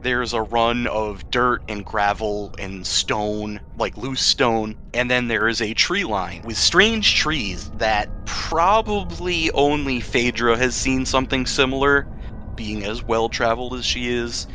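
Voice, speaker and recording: A man's voice: mid-range voice, slow, bright, forceful tone, a little hiss or hum. A man improvising narration as the game master of a tabletop role-playing session.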